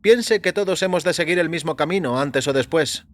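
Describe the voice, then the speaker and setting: A man playing a chatty, jovial doctor in audio fiction, with a breezy, animated delivery. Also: on the high side, a little fast, voice pushed up, lightly acted. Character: chatty, jovial doctor, curious and matter-of-fact. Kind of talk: audio fiction character acting. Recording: music behind the voice.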